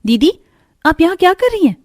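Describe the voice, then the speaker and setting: A woman's voice: very high voice, sing-song, brisk, a little mellow. A woman voicing an eager, caring young sister-in-law in a cartoon story, speaking in an insistent, supportive way. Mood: puzzled. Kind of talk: Hindi cartoon story dialogue.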